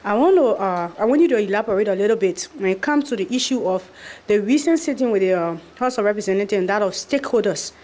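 A woman reporter puts plain, direct questions to a panel. Her voice sits at a medium pitch. She speaks at an even, businesslike pace. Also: quick pace. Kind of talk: press question.

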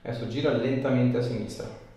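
A man's voice: medium pitch, steady tone, a little fast, dark tone. A man, working as a chiropractor and giving short instructions to a patient during treatment. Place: small hard-walled treatment room indoors. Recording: roomy, distant mic, faint room noise.

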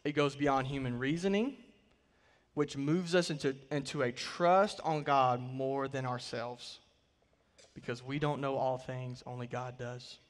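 A man in his thirties preaching a sermon from a church stage, swelling on key words and dropping to quiet line endings. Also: medium pitch, steady tone, measured pace, in a large hall. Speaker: pastor. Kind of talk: sermon with scripture reading. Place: large church stage, indoors.

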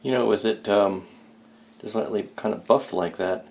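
A man's voice: on the low side, moderate pace, gravelly, darkish tone. A man chatting casually and hesitantly as he thinks aloud during hands-on hobby work in a small home workshop. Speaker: hobbyist restorer, video host.

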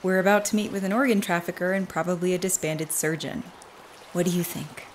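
A woman's voice: lowish voice, steady tone, a little fast, a little hiss or hum, close to an everyday voice. A woman acting in a radio drama as an anxious, cautious young woman. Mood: wary. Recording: music behind the voice.

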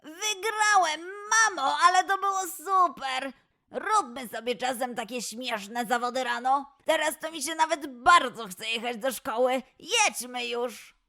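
A woman voicing a playful young male lion cub, eager and excitable, in a children's audio play. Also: high voice, unhurried, heavily acted. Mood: triumphant.

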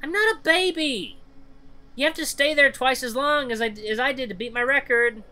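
A man's voice: very high-pitched, moderate pace, pushed and bright, pitched above the performer's own voice, full character voice. A man voicing a young child in a story, jeering and whining like a playground squabble. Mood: taunting, whiny. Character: taunting, whining young children daring each other.

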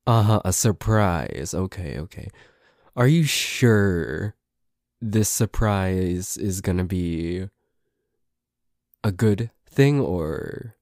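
A man's voice: low voice, animated, drawn-out words, a little mellow, no room sound, studio quality, exaggerated voice. A man playing a nervous, suspicious, grumpy boyfriend in an ASMR roleplay, hesitant and stammering, with some swearing. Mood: wary, sarcastic. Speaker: amateur voice actor.

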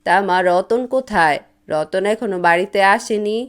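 A woman's voice: mid-range voice, moderate pace, clean tone, close to an everyday voice. Woman playing a plaintive, stubborn old cartoon mother. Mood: matter-of-fact. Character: elderly mother, fussy about food, self-pitying and stubborn. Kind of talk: cartoon voice acting.

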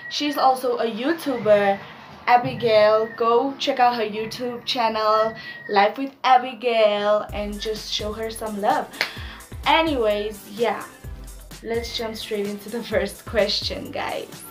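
A woman in her twenties with a Namibian accent, chatting casually to viewers in a vlog with animated slangy asides. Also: a little high, slow pace, music in the background. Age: 20s. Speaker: YouTuber.

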